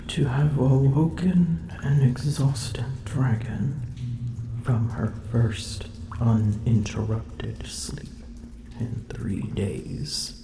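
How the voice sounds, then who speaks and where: A woman's voice: very deep voice, steady tone, extremely slow, noisy background, heavily acted. A woman voicing a haughty, drowsy and grumpy female dragon in an ASMR roleplay, speaking in formal old-fashioned phrasing and often whispering. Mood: drowsy, irritated. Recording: roomy echo.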